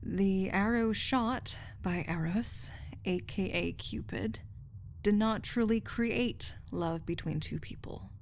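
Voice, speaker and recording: Woman acting a slow-spoken, lovestruck research assistant. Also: mid-range voice, a little hiss or hum. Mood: tender, reflective. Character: lovestruck female research assistant, tender, wry, contentedly dreamy. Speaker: audio roleplay voice actor. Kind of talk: intimate romantic audio roleplay acting.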